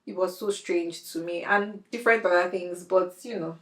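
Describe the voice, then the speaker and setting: A woman hosting a podcast interview, chatty and quick to laugh, using slang. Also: fairly low pitch, fairly bright tone, roomy sound. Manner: chatty, laughs often, uses Nigerian slang. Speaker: podcast host. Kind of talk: casual interview chat.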